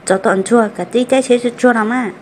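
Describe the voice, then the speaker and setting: A woman's voice: fairly high pitch, normal speed, a little hiss or hum, lightly acted. A woman playing a female character in a folk-tale cartoon about a family. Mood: conversational.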